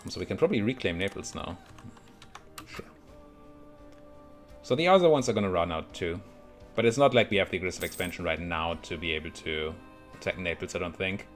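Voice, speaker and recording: Low-voiced man muttering through game commentary. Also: expressive, fairly quick, pauses often, soft background music. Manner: thinks aloud, muttering to himself. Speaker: video game streamer playing a strategy game. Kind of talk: casual let's play commentary.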